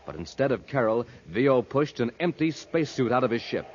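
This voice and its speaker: A man's voice: medium pitch, very animated, a little fast, slight background noise. A man announcing in punchy, emphatic, folksy 1950s radio style. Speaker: announcer and narrator. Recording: dull old radio recording.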